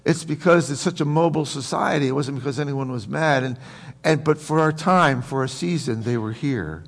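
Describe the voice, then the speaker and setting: Gravelly American preacher in a reverberant hall. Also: male voice, medium pitch, normal speed. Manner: folksy, joking, rhetorical questions to the congregation. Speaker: pastor preaching to a congregation. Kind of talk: conversational sermon. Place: large room, preaching to a congregation.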